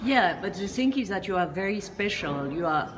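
A woman off camera interviewing a guest, asking halting questions that she often rephrases, and laughing along with his answers. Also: medium pitch, expressive. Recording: off camera, long echo, clear background noise.

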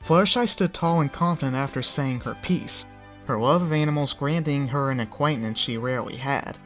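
A man reading a story aloud in a steady, even narration. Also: mid-range voice, moderate pace. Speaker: fanfic reader. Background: faint music.

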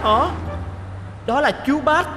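A woman's voice: sits high, takes it slowly, sharp tone, slight background noise, full character voice. A woman voicing a cheerful little blue boy bus in a cartoon dub, chatty, eager to impress and given to nervous laughs. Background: music.

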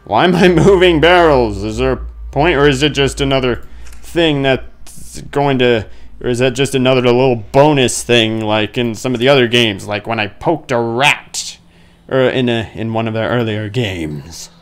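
A man's voice: medium pitch, normal speed. A man giving casual, goofy let's play commentary on a horror game, talking to himself as he plays.

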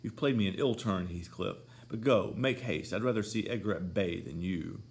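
Guy doing fiery proud lady of the manor, bossy and dramatic, a bit gravelly. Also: moderate pace. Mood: urgent. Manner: scornful, imperious, rising to shrill pleading. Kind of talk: audiobook character voice.

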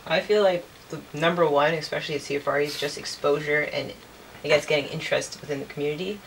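A woman's voice: sits low, steady tone, fast talker, distant mic, rough recording. A woman in her twenties chatting casually as an interview guest. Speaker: college radio programming director, guest. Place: furnished indoor music room studio. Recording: faint background noise.

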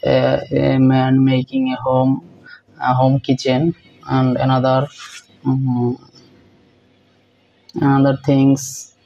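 A young man hosting a reaction video in halting, repetitive English with many fillers, filmed close on a phone in a small room. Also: mid-range voice, completely flat delivery, creaky, muffled recording. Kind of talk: casual reaction commentary.

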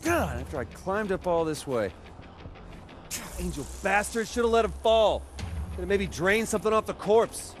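An actor playing a cocky, sarcastic young superpowered rebel in a video game, with an American accent. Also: male voice, high voice, lively intonation, normal speed, slight background noise. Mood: annoyed. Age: young adult. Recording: game music behind the voice.

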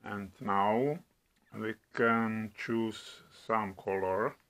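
A middle-aged man from the Czech Republic with a Czech accent, demonstrating products at home in a slow, halting way with many hesitations. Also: lowish voice, fairly even intonation, fairly bright tone. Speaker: product reviewer. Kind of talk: casual product demo. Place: home room at a table.

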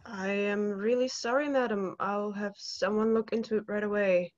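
A man's voice: high voice, steady tone, moderate pace, no room sound, lightly acted. A young man playing a polite, apologetic telephone operator in a drama, speaking formally and hesitantly over a video call. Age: young adult. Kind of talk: stage-style dramatic acting. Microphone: computer video call, wearing headphones. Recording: dull video-call sound.

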